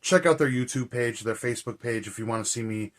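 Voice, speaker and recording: A man with a hoarse, raspy voice, chatting casually and rambling with lots of fillers as a movie vlogger. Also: medium pitch, fast, a bit bright. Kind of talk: casual chat.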